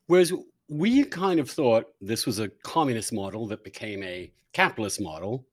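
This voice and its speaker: Guy host thinking out loud in an interview, emphatic, voice goes up and down a lot. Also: on the low side, lively intonation, normal speed. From Britain. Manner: discursive, emphatic, thinking aloud. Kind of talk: conversational intellectual interview.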